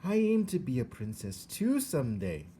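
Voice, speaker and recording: A man voicing a simple, hard-of-hearing servant girl who exclaims loudly in a high voice. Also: huge pitch swings, moderate pace, a little hiss or hum. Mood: eager. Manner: loud, high-pitched exclamations.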